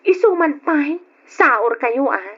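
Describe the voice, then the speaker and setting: High-pitched, teasing young Filipino girlfriend. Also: animated, takes it slowly, fairly bright tone, heavily acted. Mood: mock-complaining. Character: teasing, gossipy young girlfriend egging on her pal. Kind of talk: Filipino radio drama acting. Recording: dull, noisy old radio recording with clicks.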